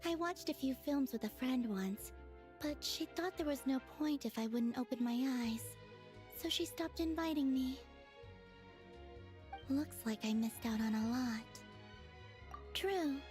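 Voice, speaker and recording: A woman voicing a serene, wistful, otherworldly young woman in an anime game, speaking in a gentle, musing way. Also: high-pitched, unhurried, long pauses. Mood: wistful, curious. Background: music.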